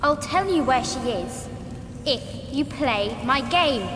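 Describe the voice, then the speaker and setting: A woman's voice: high voice, lively intonation, slow. A woman voicing a vampire little girl in a game, playful yet cunning and petulant, with childlike, sing-song coaxing. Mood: coaxing, sly. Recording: echoey long reverb, noisy ambience.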